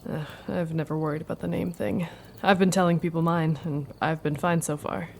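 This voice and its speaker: A solitary witch of the woods, hospitable yet wary. Her lowish voice has plenty of fry and she talks in a frank, wry, casual way, with faint night noise behind her. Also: fairly even intonation, fairly quick, darkish tone. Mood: frank, probing. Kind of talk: naturalistic audio drama dialogue.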